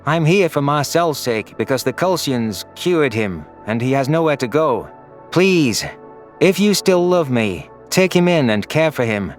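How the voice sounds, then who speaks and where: A man's voice: medium pitch, sing-song, unhurried, faint music behind. A man voicing an anguished, vengeful young furry alien who narrates his own audio story in an earnest, solemn, dramatic way. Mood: pleading.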